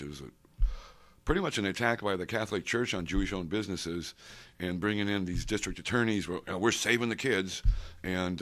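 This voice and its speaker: A man's voice: sits low, fairly bright tone. A man in his sixties, interviewed on a stand mic in a studio, telling long rambling stories and wandering off the question. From grew up abroad, Saudi Arabia, Africa and India. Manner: rambling, digressive, loses the question. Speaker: interviewee, comic collector. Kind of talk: rambling oral-history interview. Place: studio with painted backdrop, indoors. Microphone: stand mic close in front.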